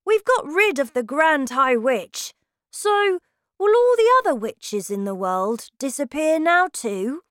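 An eager, indignant little storybook boy, acted out by a woman. The voice is very high and leaps about with breathless questions and exclamations. It sounds close and dry. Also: very animated, slow pace, a bit bright, no echo, full character voice. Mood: eager, excited. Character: excitable young boy turned mouse, eager and indignant. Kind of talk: children's storybook character voice.

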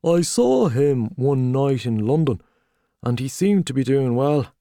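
A man voicing a boastful, hearty Irish journalist, talking loudly and expansively over drinks. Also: mid-range voice, very animated, measured pace, no room sound, studio quality. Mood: boisterous. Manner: loud, expansive, back-slapping.

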